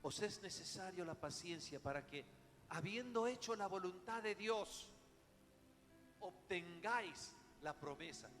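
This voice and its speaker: A man's voice: high voice, expressive, measured pace, a bit bright. A man with a Rioplatense Spanish accent preaching a sermon, dramatic, with rhetorical questions and emphatic repetition. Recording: echoey hall, music behind the voice.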